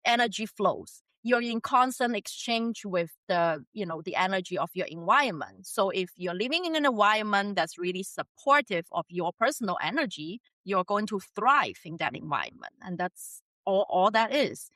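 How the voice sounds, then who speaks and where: A woman's voice: mid-range voice, lively intonation, normal speed, hardly any pauses, a bit bright, no room sound. A woman from China, chatting as an interview guest and laughing often between her points. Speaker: podcast guest. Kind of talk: casual interview conversation.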